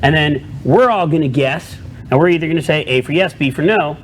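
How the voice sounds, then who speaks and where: A man's voice: a little high, brisk, a little hiss or hum, poor audio. Rambling man cohosting a beer chat. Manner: rambling, lots of fillers and throat clearing. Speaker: co-host. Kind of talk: casual chat over a party game.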